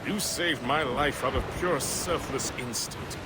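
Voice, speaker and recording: An actor playing a jovial, tipsy, flowery-tongued gentleman companion in a video game. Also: mid-range voice, measured pace, heavy background noise, close to an everyday voice. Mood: amused, chatty. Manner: animated, flowery.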